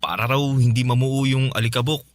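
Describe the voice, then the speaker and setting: A man's voice: medium pitch, little pitch movement, a little fast, dry recording, close to an everyday voice. An actor playing a young husband in a Filipino radio drama, conciliatory and pleading as he keeps the peace. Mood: soothing. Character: placating young husband caught between mother and partner. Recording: dry studio, music bed behind.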